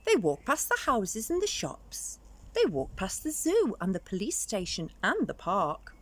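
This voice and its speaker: Female children's storyteller: expressive, very lively pitch, medium register, dramatic stretched emphasis. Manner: dramatic drawn-out words, varied emphasis. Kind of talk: expressive children's picture book read-aloud.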